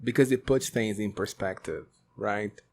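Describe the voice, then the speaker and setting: A man's voice: fairly low pitch, normal speed, darkish tone. A man whose first language is Portuguese, hosting a podcast, asking hesitant, encouraging questions. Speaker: podcast host. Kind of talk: casual interview chat.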